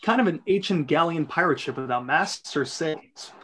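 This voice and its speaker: A man's voice: on the high side, animated, moderate pace, a bit bright, slightly rough. A man reading stage directions as narrator in an amateur script reading.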